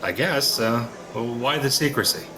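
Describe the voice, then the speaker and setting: A man's voice: mid-range voice, animated, measured pace, noisy background, close to an everyday voice. A man with an American accent playing a skeptical, sardonic ordinary husband in an audio drama, hesitant but curious, with wry colloquial delivery. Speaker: actor in an audio play. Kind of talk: audio drama acting in casual American talk.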